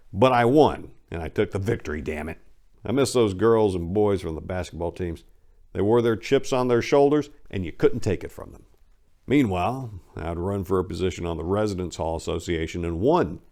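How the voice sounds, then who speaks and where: An American man giving a dramatic reading of a comic first-person memoir in a wry, self-deprecating, conversational way. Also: on the low side. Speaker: memoir narrator.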